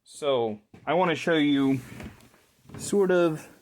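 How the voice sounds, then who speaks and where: A man giving a casual hands-on show-and-tell as a hobbyist repairer, thinking aloud in short, halting, informal phrases. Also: a little high, darkish tone, slight background noise. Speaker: hobbyist repairer presenting his own video.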